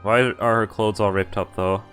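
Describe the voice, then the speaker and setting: A man's voice: lowish voice, fairly even intonation, a bit bright, faint music behind. A man streaming a game, reading visual novel text aloud and adding casual commentary. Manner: halting asides, casual swearing.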